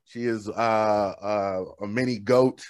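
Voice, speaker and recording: A man's voice: lowish voice, fairly even intonation, takes it slowly, dry recording. A man from Oklahoma hosting a podcast interview, talking in a laid-back, slangy, upbeat way into a studio microphone. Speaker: podcast host. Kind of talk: casual interview chat. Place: home studio room with shelves. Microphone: studio microphone on a boom arm.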